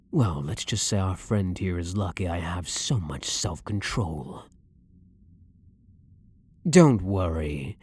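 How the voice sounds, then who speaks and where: Male actor as a brooding vampire hunter, very deep, slow, breathy, dominant, ASMR roleplay delivery. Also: a little mellow, no room sound, exaggerated voice. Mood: hungry, self-loathing. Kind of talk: ASMR roleplay, dramatic monologue.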